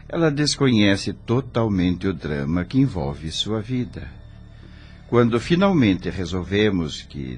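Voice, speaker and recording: A male actor as a middle-aged Brazilian father in an audio drama, earnest and troubled. The voice is deep and moves expressively through formal, respectful phrases. Soft music plays beneath it. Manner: formal, polite, emotive phrasing.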